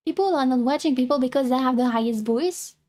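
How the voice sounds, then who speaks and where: A woman's voice: sits high, fast talker, mellow. A young woman with a French accent, chatting casually as a VTuber streamer, rambling and self-conscious with many restarts. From France. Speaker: VTuber streamer reacting to a video. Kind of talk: casual chat.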